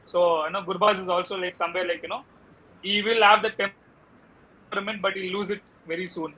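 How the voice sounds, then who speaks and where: A South Indian man talking cricket on a casual panel, halting and often falling back on filler phrases. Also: high-pitched, steady tone, bright, forceful tone, rough recording. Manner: halting, repeats "you know".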